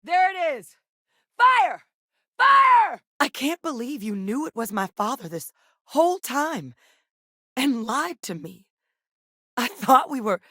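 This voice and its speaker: An actress playing a grave, dedicated woman scientist in a space-faring military, speaking in a measured, articulate way as video game dialogue. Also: lowish voice, dry recording, studio quality, natural voice. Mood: hurt, indignant.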